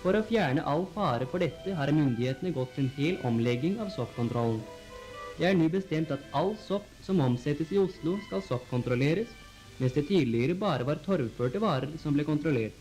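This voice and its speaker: A man reading scripted 1940s newsreel narration in a formal, measured announcer style on an old film soundtrack. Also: on the high side, lively intonation, a little mellow, faint music behind, rough recording. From Norway.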